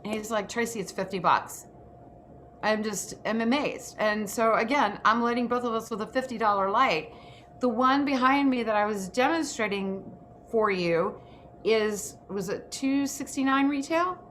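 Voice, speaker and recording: A woman's voice: a little high, normal speed, fairly bright tone, a little hiss or hum. A woman hosting an informal online workshop, speaking in an emphatic, enthusiastic way. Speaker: host of an actors' workshop.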